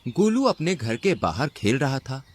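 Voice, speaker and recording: A man's voice: medium pitch, sing-song, measured pace, breathy edge. A man narrating a scripted children's story as the storyteller.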